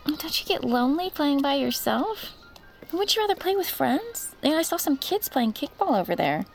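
An actress playing an anguished, protective middle-aged mother in an audio drama, tender and halting as she speaks. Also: high-pitched, sing-song, creaky, soft background music, full character voice. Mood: tender, motherly. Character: anguished, protective mother confessing a family secret. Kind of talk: audio fiction acting.

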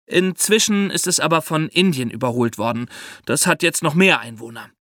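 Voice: medium pitch, animated, normal speed, fairly bright tone, dry recording, clean studio sound. A podcast guest explaining things to young listeners with vivid comparisons and questions. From China.

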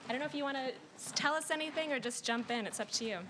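A woman's voice: high voice, racing, noisy background. A woman hosting a live podcast taping, giving brief affirmations and upbeat introductions. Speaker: podcast host. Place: live event venue with audience.

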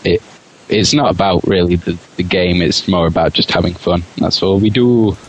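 British male podcast guest, notably deep voice, casual conversational delivery. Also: normal speed, poor audio. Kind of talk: casual chat.

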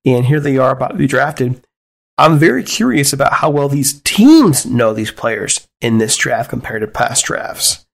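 Man, podcast host, easygoing, chatty, mid-pitched, plain voice. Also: very animated, moderate pace, vocal fry. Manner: easygoing, chatty, asks open questions. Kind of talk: casual podcast chat.

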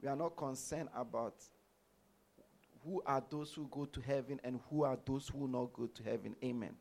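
A pastor from Ghana preaches in a gravelly, mid-pitched voice. He punctuates nearly every sentence with an amen, building his teaching in short repeated phrases. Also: normal speed, darkish tone. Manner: says amen after nearly every line. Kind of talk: sermon.

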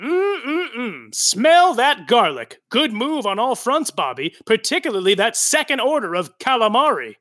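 An actor playing a droll, self-aware comic narrator with an arch, sardonic manner. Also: male voice, very high-pitched, very animated, a little slow, hardly any pauses, pushed and bright, no echo, studio quality, exaggerated voice. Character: droll, snarky, self-aware narrator who comments on characters. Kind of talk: comedic scripted narration.

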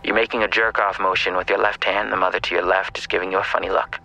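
An actor playing a menacing, taunting killer in an audio drama, with a raspy, creaky voice that slips into whispers. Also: male voice, deep voice, little pitch movement, fast, sharp tone, slight background noise, full character voice, poor audio. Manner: taunting, insinuating, probing. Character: menacing, taunting male killer who runs a dark-web market.

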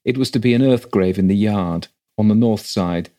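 A man's voice: lowish voice, normal speed, dark tone, lightly acted. Country rector, played by a male reader: authoritative, educated, formal and businesslike, for a storybook ghost tale. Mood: brisk. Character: brisk, educated, slightly impatient country rector.

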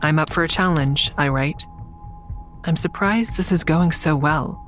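Low-voiced, guarded woman investigator. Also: measured pace, fairly bright tone, faint music behind. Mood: subdued, uneasy. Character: guarded, stressed woman investigator hiding a dark secret. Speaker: narrator and lead character. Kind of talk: audio drama acting with first-person narration.

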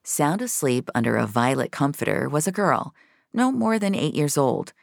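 A woman narrates scripted fiction in a very deep voice. There is a gravelly creak to it, and the sound is close and dry. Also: big pitch swings, moderate pace, dry recording.

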